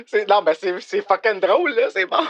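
An informal Quebec French speaker directs fellow readers between takes. The pitch moves around a lot, and the manner is giggly and apologetic. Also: animated, normal speed, fairly bright tone. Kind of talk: casual studio banter.

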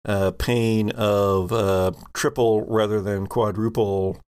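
A man narrating a tabletop sci-fi game as game master, explaining in a halting way. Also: lowish voice, level tone, darkish tone, smooth.

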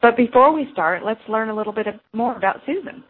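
A woman's voice: fairly high pitch, animated, fast talker, a little hiss or hum. A woman hosting a show, reading a prepared introduction and asking friendly questions over the phone. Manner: reads a scripted introduction, then friendly questions. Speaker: host. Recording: tinny phone line.

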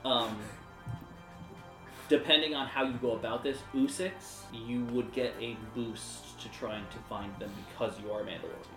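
A man improvising narration as game master of a tabletop game, in a halting, conversational way. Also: mid-range voice, steady tone, fairly bright tone, roomy sound, low-quality recording. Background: music.

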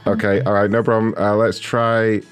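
A man's voice: lowish voice, flat delivery, normal speed, over music. A man hosting a prank call show, laughing and directing the next call in casual chat. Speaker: host.

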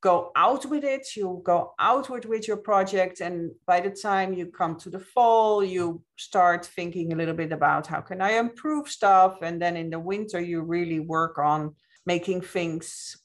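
A woman's voice: on the low side, a little slow, hardly any pauses. A woman from the Netherlands with a Dutch accent, speaking reflectively and explaining things as a guest in a conversational interview. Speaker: podcast guest, witchcraft author.

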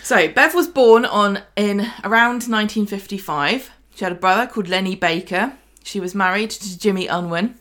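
Female podcast host, brisk and teasing, mid-range voice. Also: medium pitch, a bit bright. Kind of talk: casual chat.

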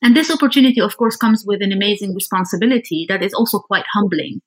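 Woman from lebanon, podcast guest, medium pitch, chatting thoughtfully and picking her words as she goes. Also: fast talker. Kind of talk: conversational interview answers.

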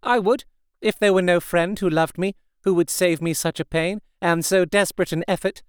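A man's voice: high voice, moderate pace, smooth, no room sound, studio quality, voice pushed up, close to an everyday voice. A man doing a brave, sorrowful young woman.

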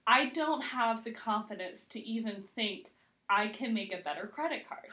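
A woman's voice: a little high, animated, normal speed, sharp tone. Emphatic, informal woman chatting as guest. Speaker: TV critic, podcast guest. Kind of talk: casual chat.

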